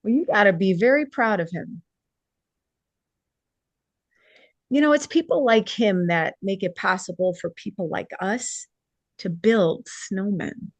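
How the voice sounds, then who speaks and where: A woman's voice: medium pitch, normal speed, dry recording, natural voice. A woman playing a kind, encouraging young woman in a drama table read. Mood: reassuring.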